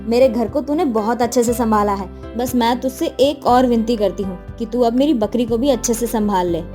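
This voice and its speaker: Lady doing old village saas for a cartoon, naggy n bossy, high voice, faint music behind. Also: moderate pace, warm tone, lightly acted. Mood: pleased, pleading. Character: elderly village mother-in-law, hardworking, fussy, doting on goat. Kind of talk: Hindi animated moral story dialogue.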